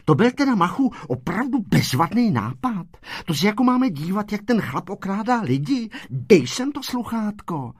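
A bossy little schoolgirl from a storybook, as voiced by a man, sassy and easily exasperated. The voice is pitched up and swoops widely, with a husky edge and casual, slangy phrasing. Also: huge pitch swings, no echo, studio quality, heavily acted. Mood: sarcastic, annoyed. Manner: sassy, colloquial, ordering others about. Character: bossy, exasperated schoolgirl scheming with her classmate.

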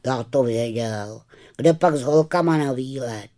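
A woman's voice: extremely low pitch, warm tone, faint music behind. A woman voicing a cheeky, teasing schoolboy in a children's storybook. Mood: smug.

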